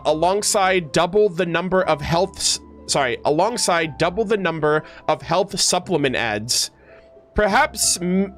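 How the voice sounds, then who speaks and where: Higher-voiced male streamer with music behind. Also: a bit bright. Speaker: livestream host. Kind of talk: casual game lore reaction commentary, reading in-game text aloud.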